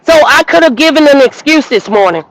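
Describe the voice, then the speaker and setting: A woman minister hosting a livestream, giving an emphatic, preacherly motivational talk that at times rises to shouts. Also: high-pitched, lively intonation, fairly quick, dry recording, poor audio. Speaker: minister and host. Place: outdoors walking in the rain.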